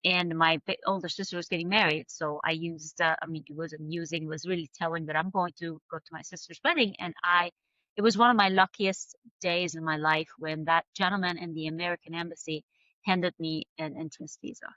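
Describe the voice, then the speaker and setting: A woman's voice: fairly low pitch, steady tone, brisk, sharp tone, no echo, muffled recording. A woman in her forties, a native Farsi speaker, earnestly recounting her past as a podcast guest, with many fillers and restarts. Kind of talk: personal storytelling in a podcast interview.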